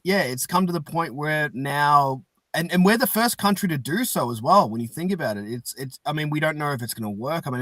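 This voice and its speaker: A man's voice: mid-range voice, fairly bright tone, no echo. Dry-sounding young Australian man hosting. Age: young adult. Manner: earnest, rambling. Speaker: podcast host. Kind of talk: casual chat.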